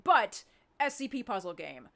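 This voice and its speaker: A woman talking informally in a live Q&A as a show's creator, thinking aloud and pausing as she goes. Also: fairly high pitch, big pitch swings, creaky, bright, forceful tone. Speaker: audio drama writer and producer. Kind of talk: casual chat in a live Q&A.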